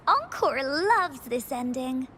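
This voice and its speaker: Game role: little storyteller girl. High, animated, cheerful, earnest, some background rumble. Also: full character voice. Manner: dramatic storybook narrating, exclaiming. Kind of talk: video game voice acting, storytelling.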